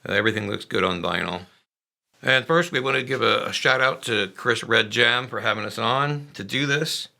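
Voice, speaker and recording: Gravelly-voiced man chatting about music. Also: lowish voice. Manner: relaxed, rambling, laughs easily. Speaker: band member discussing a song. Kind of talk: casual conversational chat.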